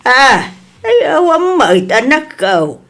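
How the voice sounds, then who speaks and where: Concerned Ilocano woman played by an actress, expressive, fretful and quick to scold, audio drama. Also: high voice, heavily acted.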